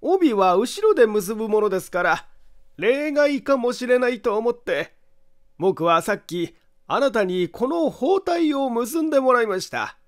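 An eerie male detective, acted by a male reader, speaks in a high voice at a very slow pace. Each phrase is deliberate and insistent, in the manner of a dramatic mystery audiobook. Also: big pitch swings, exaggerated voice. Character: eerie, slow, low-spoken male detective laying out a crime. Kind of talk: dramatic audiobook character acting.

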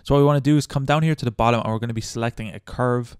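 A man narrating a casual step-by-step software tutorial in a chatty, informal way on a good close microphone. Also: mid-range voice, steady tone, very fast, clear, resonant tone, no room sound. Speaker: tutorial host. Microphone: good close microphone, voice-over.

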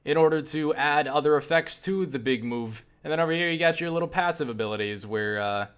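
A man giving casual, unscripted video game commentary, enthusiastic and sweary, often halting and repeating words as he rambles. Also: fairly high pitch, a bit bright, clear, resonant tone. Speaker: video game reviewer and player.

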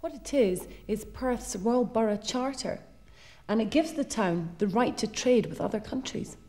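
Young woman, schools TV presenter, clear simple explaining, somewhat high voice. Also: a little slow, a little mellow, dry recording.

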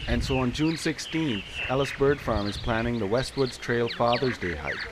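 A man narrating a community news report in a steady announcing manner. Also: mid-range voice, normal speed, noisy background.

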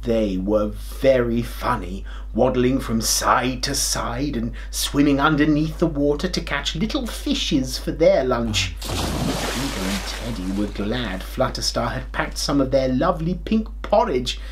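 A kindly, forgetful old king of a grandad, played by a middle-aged man, tells a story for small children. He takes his time, with a lively rise and fall in his expressive voice. His delivery is jolly and theatrical. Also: mid-range voice, a little slow. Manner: theatrical, expressive, with hammed-up hesitations and chuckles.

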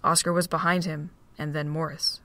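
A woman reading the narration of a fan audio drama in a steady storytelling voice. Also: deep voice, normal speed, creaky.

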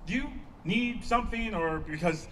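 A man's voice: high-pitched, normal speed, fairly bright tone. A man in a staged play reading, playing a dry, exasperated therapist dealing with an old friend. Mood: dry, weary. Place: outdoor stage. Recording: distant outdoor stage pickup, background noise.

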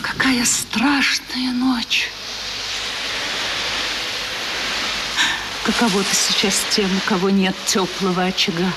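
An actress playing a tender-hearted innkeeper in a vintage radio play, full of breathy exclamations and emotional repetition. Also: female voice, medium pitch, a little slow, lightly acted. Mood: anxious, wistful. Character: anxious, tender-hearted innkeeper woman, lonely and devoted. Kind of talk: 1970s Russian radio play acting. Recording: noisy vintage radio drama recording.

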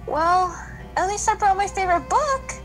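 A little cookie schoolgirl played by a woman, nervous and chatty, in a children's radio play. Her voice is high and bounces around, with music behind it. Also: lively intonation, moderate pace, fairly bright tone, low-quality recording.

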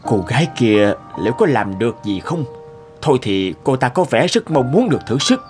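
A man's voice: medium pitch, very animated, normal speed, darkish tone, soft background music, natural voice. A man voicing a stern, stately older noble master in a cartoon folk tale, speaking with authority. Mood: pondering.

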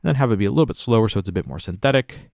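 Man, lowish voice, casual instructor, informal step-by-step explaining, close and dry voice-over. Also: animated, very fast, gravelly, a little mellow, no room sound. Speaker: software tutorial instructor. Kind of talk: casual step-by-step tutorial narration.

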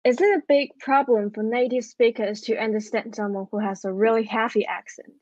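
A young woman in her twenties hosting, asking tutors questions on a video call in a small bedroom, bubbly and quick to laugh. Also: fairly high pitch, steady tone, a little mellow, highs cut off. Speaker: host interviewing tutors. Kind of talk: casual interview and vlog.

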